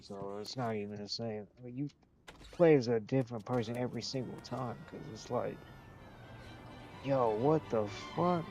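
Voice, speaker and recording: A man's voice: mid-range voice, normal speed, a little mellow, soft background music. A young man streaming a game, chatting casually, rambling, talking to himself, and swearing often. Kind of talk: casual gaming stream chat.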